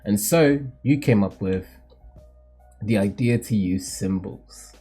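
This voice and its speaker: A man voicing a solemn, cryptic mentor who sees the future, in a theatrical, measured, formal manga read-aloud. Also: on the low side, mellow, husky, faint music behind, pitched below the performer's own voice.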